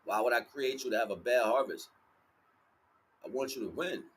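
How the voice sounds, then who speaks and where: A man's voice: mid-range voice, normal speed, fairly bright tone, husky. A man giving a rambling motivational spiritual talk in casual, sweary street slang, emphatic and repeating his phrases. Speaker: livestream spiritual teacher.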